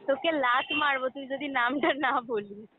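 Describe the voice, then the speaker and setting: A woman's voice: high voice, normal speed. A woman in a casual phone chat, talking in a blunt, scolding, slangy way. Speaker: prank call target. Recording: narrow noisy phone line.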